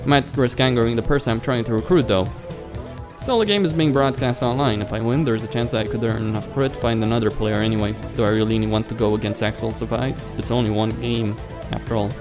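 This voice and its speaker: A man's voice: mid-range voice, fast. A man hosting a let's play, reading a visual novel script aloud and stumbling over some words. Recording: music behind the voice.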